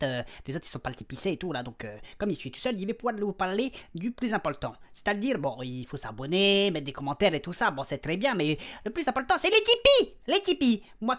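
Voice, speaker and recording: A woman's voice: medium pitch, fairly bright tone. A woman playing a small, touchy, grumpy male adventurer in a comic fantasy audio drama, with whiny, hesitant, clumsy speech.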